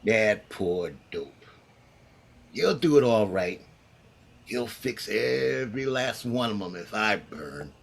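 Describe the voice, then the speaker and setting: A man's voice: mid-range voice, creaky, a bit bright, full character voice. Man acting a gritty, vengeful condemned gangster. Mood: gloating, wary. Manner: tough, slangy, commanding, menacing talk. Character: scheming, vengeful condemned gangster in his jail cell. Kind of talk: 1930s radio crime drama acting.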